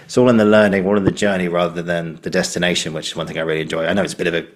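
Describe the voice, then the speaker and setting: A man with a low-pitched voice hosts a podcast interview. He talks in a loose, rambling and encouraging manner, effusive towards his guest. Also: little pitch movement, rapid-fire. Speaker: podcast host. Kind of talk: conversational interviewing.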